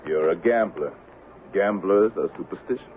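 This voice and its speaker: A cool, menacing western avenger with dry sarcasm , played by a man. His American voice is low and controlled, heard through the narrow, slightly hissy sound of an old radio play. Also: natural voice. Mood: sardonic. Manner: deliberate, sardonic, controlled. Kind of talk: 1970s radio drama acting. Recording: muffled, hissy vintage radio recording.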